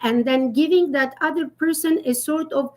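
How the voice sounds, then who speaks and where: Woman etiquette guest, higher voice, earnest and explanatory, pauses with fillers. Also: darkish tone. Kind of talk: conversational live-stream talk.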